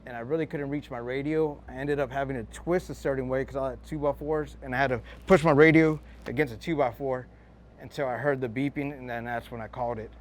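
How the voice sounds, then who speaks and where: A man's voice: mid-range voice, steady tone, fast talker. A man, a fire lieutenant, recounting an experience in an interview.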